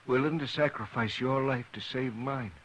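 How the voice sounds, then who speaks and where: A man's voice: mid-range voice, moderate pace, fairly bright tone, slight background noise, close to an everyday voice, poor audio. An actor playing a despairing male captive in a 1950s radio western, speaking in a pleading, despondent way. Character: despairing male captive who thinks his life worthless.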